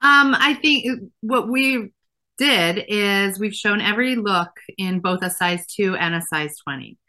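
A woman, a fashion designer answering interview questions thoughtfully with many pauses. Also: medium pitch, pushed and bright. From Israel. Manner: thoughtful, many fillers and restarts.

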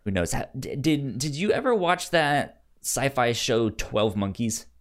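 A man co-hosting a film podcast in casual chat, haltingly repeating words as he thinks. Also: medium pitch, animated.

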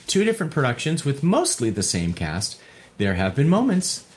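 A man chatting casually as an interview guest, a theatre director with a jokey, halting delivery full of repeats. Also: mid-range voice, huge pitch swings. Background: puppy moving about at home.

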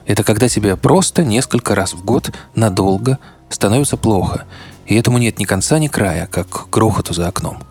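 A man's voice: on the low side, animated, a little fast, darkish tone. A man hosting a podcast, narrating in a conversational, wry and colloquial way, speaking straight to the listener. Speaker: podcast host. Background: background music.

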